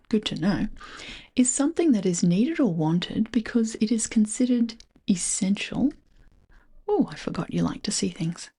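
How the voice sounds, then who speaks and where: A woman with an Australian accent, speaking softly and often whispering as she reads an old book aloud and muses over what she finds, recorded as a voice-over on a close microphone. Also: mid-range voice, breathy edge. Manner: soft-spoken, often whispering, musing aloud. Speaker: channel host reading an old book. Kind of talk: soft-spoken rambling read-through. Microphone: close voice-over mic.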